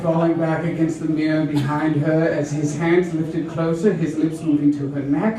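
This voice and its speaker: A man performs a dramatic reading at a slow, drawn-out pace. His voice sits fairly high for a male reader and is heard from a distance in a very echoey room. The delivery is theatrical. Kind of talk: mock-dramatic reading of erotic romance fiction. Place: roomy venue with a live audience. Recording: echoey room from far off, audience noise.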